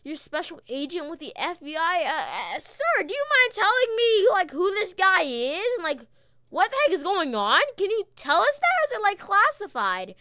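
A speaker giving excited, slangy gameplay commentary and urgent police roleplay into a close microphone. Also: extremely high pitch, normal speed, no echo. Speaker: gaming video host playing a police officer.

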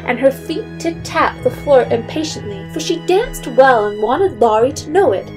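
A woman narrating a period audio drama in a measured, literary storytelling manner. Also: sits high, animated, over music, rough recording.

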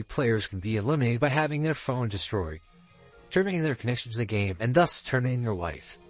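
A man voicing a solemn god of time and space, formally announcing the rules as game master in a visual novel. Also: medium pitch. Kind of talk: reading visual novel dialogue aloud.

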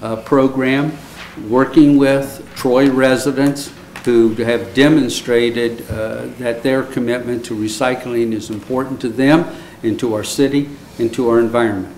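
A man speaking as mayor, halting and hesitant, leaning on filler words. His voice rings through a large council chamber. A faint room hum sits underneath. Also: mid-range voice, fairly even intonation, darkish tone, roomy sound, low-quality recording. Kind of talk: speech at a city council meeting.

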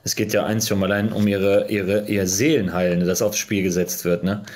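A man's voice: on the low side, flat delivery, a little fast, a little mellow. A man in his thirties hosting a livestream, talking casually and preaching about religion. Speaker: livestream host. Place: small room at home.

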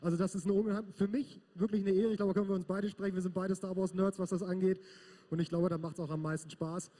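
A man's voice: high voice, flat delivery, fast, dark tone. A man hosting a convention stage interview, talking enthusiastically on a handheld mic. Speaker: stage host.

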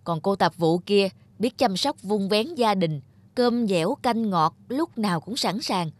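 A woman's voice: mid-range voice, expressive, unhurried. A woman playing a chatty, well-meaning married neighbour in a cartoon, gossiping with sighs. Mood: gossipy. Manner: gossipy, sighing.

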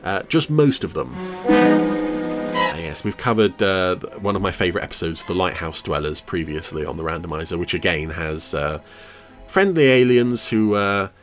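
A man's voice: low-pitched, lively intonation, moderate pace, soft background music. A man giving casual, wry, jokey commentary on a TV episode as a podcast host.

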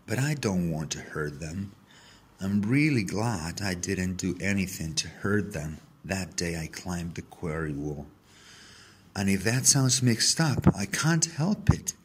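A man reading a children's story aloud in the first person, with deliberate, dramatic phrasing. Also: sits low, expressive, slight background noise. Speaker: story reader.

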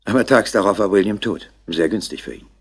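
An actor playing an eager subordinate police inspector, reporting briskly and factually in a 1960s radio play. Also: male voice, on the low side, breathy edge. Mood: brisk, businesslike.

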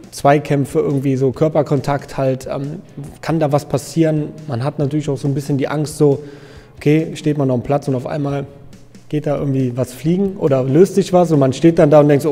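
A man in his thirties from Germany, a guest in an interview, talking casually with many fillers and pauses. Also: mid-range voice, mellow, long reverb tail. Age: 30s. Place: photo studio with grey backdrop.

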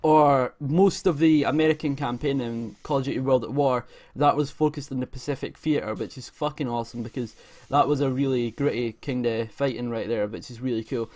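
A young man with a medium-pitched voice gives off-the-cuff gaming commentary. He is informal and enthusiastic, swearing freely as he talks into a desk mic at home. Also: darkish tone. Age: 20s. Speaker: gaming video creator. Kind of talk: casual gaming news commentary over gameplay. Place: indoor room at home. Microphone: desk microphone close to mouth.

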